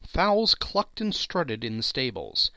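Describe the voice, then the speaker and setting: A man delivers dramatic storybook narration in a medium-pitched voice. His reading is emphatic and highly animated, with a bright, forceful tone and a theatrical flair. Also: takes it slowly, no room sound. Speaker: podcast host reading a classic story.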